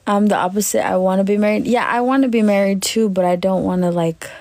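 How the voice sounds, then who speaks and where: A woman in her twenties chatting casually on a livestream, lying in bed and answering comments with lots of fillers, in a voice she calls deep. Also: steady tone, fast talker, darkish tone, no echo. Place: bedroom, lying on a bed indoors. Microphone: phone at arm's length.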